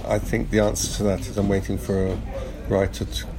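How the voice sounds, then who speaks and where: A man's voice: fairly low pitch, fairly quick. A middle-aged man answering casually in an interview, hesitant and thinking aloud as he goes. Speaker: podcast producer, interview guest. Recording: clear steady ambient background noise.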